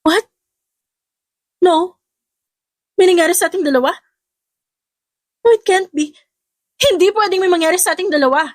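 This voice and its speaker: A woman's voice: extremely high pitch, moderate pace, long pauses, dry recording, studio quality, pitched above the performer's own voice. A woman voicing a hot-tempered, indignant young woman in an audiobook romance, exclaiming and insistent. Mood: disbelieving. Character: hot-tempered, indignant young woman, tipsy then shrieking at her neighbour.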